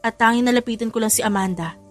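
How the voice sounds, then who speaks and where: A woman's voice: a little high, fast talker, soft background music, natural voice. A woman playing an earnest man pleading with the one he loves, in an audio romance story. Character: earnest man pleading for his lover's forgiveness.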